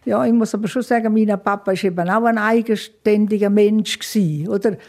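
An older woman with a Swiss German accent speaks slowly and reflectively, answering interview questions about her youth. Her voice is mellow and warm in tone, with occasional soft laughter and hesitant fillers. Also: mid-range voice. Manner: thoughtful, frequent fillers, laughs softly at times. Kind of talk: reflective life-story interview.

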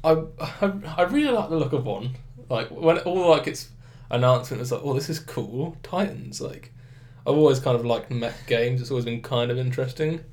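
A man's voice: mid-range voice, lively intonation, moderate pace, a little mellow. A young British man hosting a podcast, chatting casually about games while nursing a head cold that makes him sound a bit nasal and blocked up. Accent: British English. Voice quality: slightly nasal. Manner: rambling, restarts, acts out quotes. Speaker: podcast host. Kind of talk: casual gaming chat.

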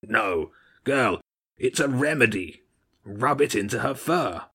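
A man's voice: mid-range voice, animated, takes it slowly, sharp tone, slightly rough, full character voice. A man voicing a stern, mocking old badger king in an audiobook story, with a blunt, commanding manner. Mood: gruff. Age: older. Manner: blunt, scornful, commanding, short barked phrases.

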